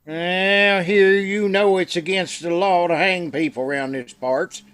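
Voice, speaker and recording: A man's voice: high voice, fairly even intonation, a little slow, a bit bright, clean tone. An American man with a rural accent playing a good-hearted, fretful frontier sidekick in a radio Western. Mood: protesting. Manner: folksy, fretful. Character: good-hearted, worrying, folksy frontier deputy's helper. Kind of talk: old-time radio Western acting.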